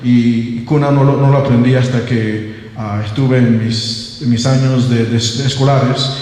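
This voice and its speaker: A young man from Panama, whose first language is English, speaks as a linguist at a seminar, stumbling through restarts and fillers. His middle-pitched voice rings through a very echoey hall. The long reverb trails after each phrase. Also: fairly even intonation, a little slow, darkish tone. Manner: hesitant, many fillers and restarts. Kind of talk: academic presentation. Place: seminar room.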